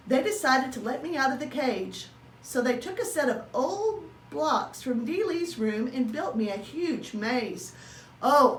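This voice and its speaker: Animated, high-voiced woman reading a storybook. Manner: expressive, acts out dialogue. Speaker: teacher reading a children's book aloud. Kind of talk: animated children's storybook narration. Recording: roomy, distant mic, faint background noise.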